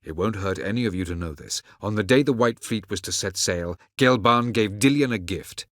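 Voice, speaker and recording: A man playing a haughty, cryptic elven sage in a video game, with measured, condescending, formal archaic phrasing. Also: on the low side, a bit bright, dry recording, lightly acted. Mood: grave, explaining. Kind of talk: video game character dialogue.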